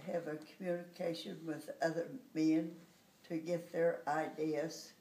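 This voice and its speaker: A woman in her eighties answering interview questions hesitantly, pausing often on fillers. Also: sits low, flat delivery, dark tone. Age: 80s. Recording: roomy echo, distant mic.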